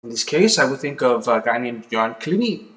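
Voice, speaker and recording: Danish man explaining things casually. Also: mid-range voice. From Denmark. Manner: relaxed, conversational, with fillers and pauses. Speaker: video host. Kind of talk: casual explainer talk to camera. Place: small home office with a computer. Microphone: webcam or desk camera, close framing.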